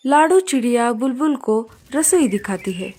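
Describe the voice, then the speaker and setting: A woman storyteller with a slightly high voice. She delivers scripted narration for a children's cartoon tale. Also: expressive, a little slow, darkish tone.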